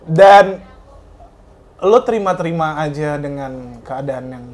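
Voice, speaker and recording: Pembawa acara pria bersuara tinggi. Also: male voice, a little high, lively intonation, measured pace. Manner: casual, with short encouraging backchannels and questions. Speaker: podcast host. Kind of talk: casual interview chat.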